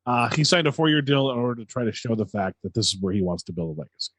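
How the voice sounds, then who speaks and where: Male co-host for sports commentary: slightly rough, husky voice, mid pitch, halting, casual, dry recording. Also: lively intonation, fairly bright tone, no room sound.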